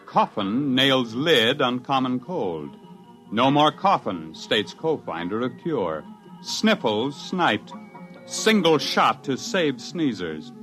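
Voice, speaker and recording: A sharp-tongued research doctor in a 1950s radio drama. He narrates in a mid-range voice and turns indignant when he speaks as himself. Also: medium pitch. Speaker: narrator and lead character.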